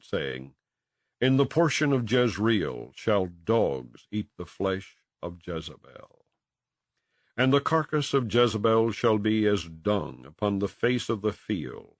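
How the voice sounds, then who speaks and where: Deep-voiced man solemnly reading scripture. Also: creaky, no room sound, clean studio sound. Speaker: audiobook reader. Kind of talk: scripted scripture narration, King James Bible.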